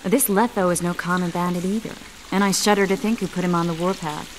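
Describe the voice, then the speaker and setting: A woman playing an earnest, caring young sorceress in a video game, speaking in a measured way. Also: lowish voice, steady tone, a little hiss or hum, natural voice, poor audio. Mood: worried.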